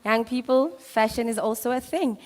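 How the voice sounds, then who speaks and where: A woman's voice: a little high, moderate pace. A woman moderating a conference panel, upbeat and encouraging toward young people. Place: conference hall. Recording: reverberant hall.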